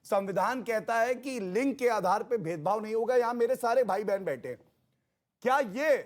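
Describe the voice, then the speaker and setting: A man arguing in a heated TV debate as a panelist, combative and rhetorical, repeating himself for emphasis. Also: sits high.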